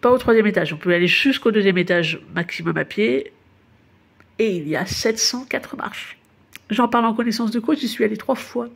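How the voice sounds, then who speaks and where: A woman's voice: mid-range voice, normal speed, gravelly, slightly rough. A woman from Paris giving a casual spoken tour, chatty and informal, laughing at her own slips. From Paris, France. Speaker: narrator of a guided city tour. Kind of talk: casual spoken tour narration addressed to the listener.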